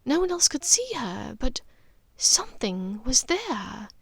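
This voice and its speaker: A woman voicing a haunted, gently resolute witch mother in an audiobook, speaking earnestly and haltingly with care. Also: high-pitched, big pitch swings, creaky, slightly rough, dry recording, exaggerated voice. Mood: halting, urgent. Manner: halting, vehement, careful. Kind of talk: audiobook character dialogue.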